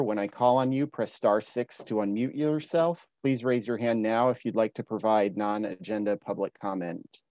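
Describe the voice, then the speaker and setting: A man on city staff reading out meeting procedures over a video call in a measured, scripted way. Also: mid-range voice, darkish tone, no echo. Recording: dull, dry video-call audio.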